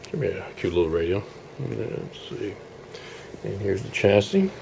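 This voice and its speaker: Male hobbyist presenter, low creaky voice, informal and halting delivery, faint background noise on a camera mic. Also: big pitch swings. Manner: halting, thinking aloud while showing parts. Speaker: hobbyist radio restorer presenting his project. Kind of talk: casual informal video walkthrough.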